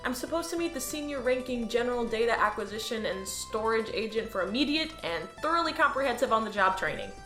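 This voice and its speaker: An eager, naive alien newcomer voiced by a woman talks in a cheerful, earnest way. The voice is bright and a little high. A roomy echo and faint music sit behind it. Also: animated, quick pace, hardly any pauses. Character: eager naive male alien new hire. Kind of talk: audio drama acting.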